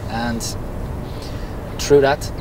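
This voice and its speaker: A young Irish man with an Irish accent answers questions in an easy, self-deprecating way, often adding "you know". His voice sits at a middle pitch. Clear traffic noise carries behind him outdoors. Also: fairly even intonation, drawn-out words. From Ireland. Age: young adult. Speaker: interview guest. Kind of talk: casual interview answers.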